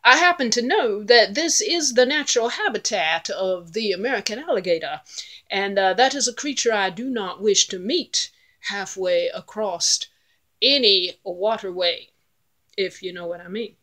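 A woman's voice: medium pitch, normal speed, bright, forceful tone. A woman voicing a learned, middle-sized male goat who talks in a formal, long-winded, pompous way. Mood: cautious.

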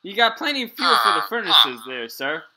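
A man's voice: on the high side, very animated, a little fast, bright, forceful tone. A man improvising in-character narration for a game roleplay, talking to himself in explanatory fragments. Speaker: player in a Minecraft roleplay series. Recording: clearly noisy amateur game recording with clicks.

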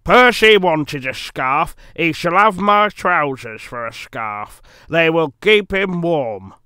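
A man voicing a stout, stern railway boss who scolds loudly. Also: fairly high pitch, lively intonation, takes it slowly, smooth, no room sound, studio quality, full character voice. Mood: cross.

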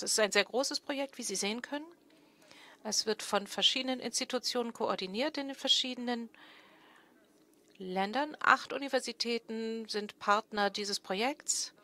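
A woman's voice: medium pitch, pushed and bright. A woman in her thirties from Germany, moderating a panel and presenting a research project on a large conference stage with a lectern microphone, explaining steadily with a few fillers and self-corrections.

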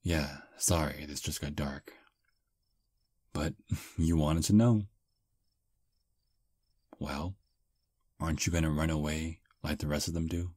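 Guy voicing a chill vampire dude on a date, super low slow voice, kinda self-deprecating, asmr close mic. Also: no echo, heavily acted. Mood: calm. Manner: soft, relaxed, self-deprecating. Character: charming young-looking male vampire on a first date. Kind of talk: ASMR roleplay.

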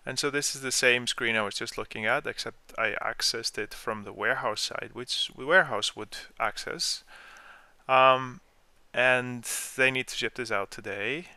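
A man explaining software step by step in an informal screen-share tutorial, as the trainer. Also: medium pitch, moderate pace, no echo.

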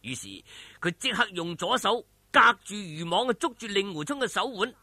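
A male Cantonese storyteller with a high, forceful and husky voice. He narrates a martial arts tale in colloquial, suspenseful style, with pitch that swings widely. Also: lively intonation, moderate pace, no echo. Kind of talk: dramatic oral storytelling of a martial arts novel.

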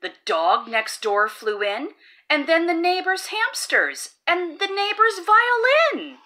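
A woman's voice: very high-pitched, sing-song, slow pace, sharp tone. A woman hosting a children's read-aloud, reading a rhyming picture book in a playful, sing-song way. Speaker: storybook read-aloud host.

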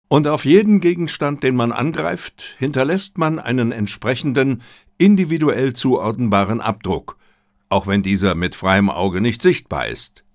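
A man voicing a confident detective in an audiobook, speaking deliberately and politely with theatrical emphasis. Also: medium pitch, no room sound, studio quality. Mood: assured, explanatory. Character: confident, theatrical male detective addressing suspects.

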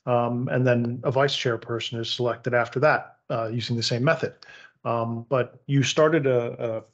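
Man calmly explaining meeting procedure. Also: medium pitch, normal speed. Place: town council chamber. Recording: dull lo-fi sound.

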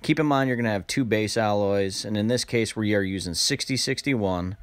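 Male tutorial narrator, lowish pitch, plain-spoken and casual, close dry voice-over. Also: normal speed, no room sound. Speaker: instructional narrator.

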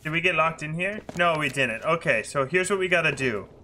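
Male gaming streamer, bright and forceful, exclamatory, game noise behind him. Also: a little high, expressive, fairly quick. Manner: exclamatory, playful. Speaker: gaming streamer, crew captain. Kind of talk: excitable gameplay chat with crewmates. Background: game sound effects and ambience.

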